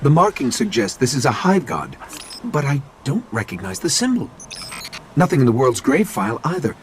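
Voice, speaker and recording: A man's voice: medium pitch, sing-song, normal speed, slight background noise, lightly acted. A man voicing a small, curious and wary robot companion in a video game, explaining things in an articulate, musing way. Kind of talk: video game voice acting, exploratory narration.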